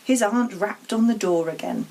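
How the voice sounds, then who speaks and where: A woman reading a children's storybook aloud as an expressive narrator. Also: mid-range voice, normal speed, creaky. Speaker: story reader.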